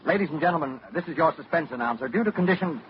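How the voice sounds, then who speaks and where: An actor playing a formal radio announcer who breaks into the broadcast, a little flustered. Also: male voice, fairly high pitch, animated, very fast, close to an everyday voice. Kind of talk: 1940s radio drama acting. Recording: dull old radio recording with hiss.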